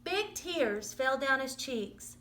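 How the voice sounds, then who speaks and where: A woman reading a children's story aloud in an expressive, dramatic storytelling manner. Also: sits high, slow. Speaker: storybook reader.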